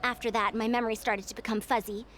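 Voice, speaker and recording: Woman acting a high-voiced, weary young-looking researcher. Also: little pitch movement, fast talker, a bit bright, faint music behind, lightly acted. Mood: grave, haunted. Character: youthful female researcher recounting long entrapment, weary, methodical. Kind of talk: video game flashback narration.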